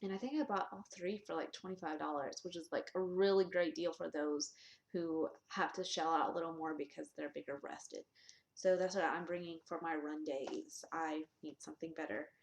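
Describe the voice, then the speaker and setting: A woman's voice: sits low, steady tone, normal speed. A young woman vlogger talking casually and candidly, with many ums and restarts. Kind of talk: casual vlog. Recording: echoey room.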